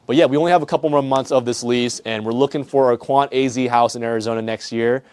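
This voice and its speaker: A co-founder giving a relaxed, self-deprecating house tour at home for his vlog. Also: medium pitch, fast, clean tone. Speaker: co-founder of the community. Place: home living room.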